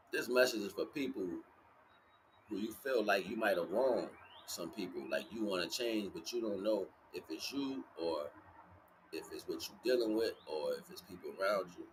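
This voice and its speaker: American male streamer, deep-pitched, emphatic slangy spiritual talk, background noise. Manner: emphatic, repetitive, street slang, peppered with profanity. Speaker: livestream host giving a spiritual message. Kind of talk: informal spiritual monologue. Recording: clearly noisy, ambient background noise.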